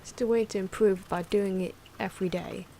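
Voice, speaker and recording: A soft-spoken, hesitant woman giving ASMR commentary on her own drawing, with pencil and marker noises. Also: mid-range voice, steady tone, brisk, slight background noise, low-quality recording. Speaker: artist narrating own drawing video.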